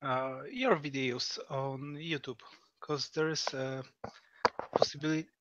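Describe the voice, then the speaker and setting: A man from Poland whose first language is Polish, calling in and pausing often as he searches for words. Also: mid-range voice, steady tone, a bit bright, no room sound. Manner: hesitant, searching for words with many pauses. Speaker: caller. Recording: muffled call audio with background noise.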